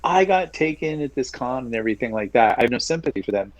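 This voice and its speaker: A man's voice: medium pitch, expressive, fast, dry recording. A man co-hosting a gaming podcast, telling rambling stories in a casual, joking way. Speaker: podcast co-host. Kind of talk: casual chat.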